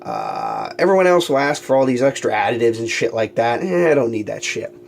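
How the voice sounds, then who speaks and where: A man's voice: medium pitch, wildly swooping pitch, brisk, creaky, a little hiss or hum. A man hosting his own talk show, congested from allergies and a cold, rambling casually with lots of ums and restarts. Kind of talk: casual solo monologue to camera.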